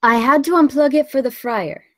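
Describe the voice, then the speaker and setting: A woman playing a caring wife and mother with a fairly high voice. She sounds practical and domestic, reading drama from a script. The sound is dry. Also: moderate pace, dry recording, natural voice. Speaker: actor at a table read. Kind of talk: live script table read.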